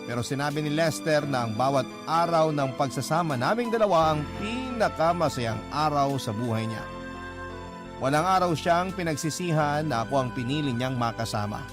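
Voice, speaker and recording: A man's voice: a little high, animated, normal speed. A man, a radio host, reading a letter as dramatic narration in an earnest, sentimental way. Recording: clear music bed behind voice. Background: music.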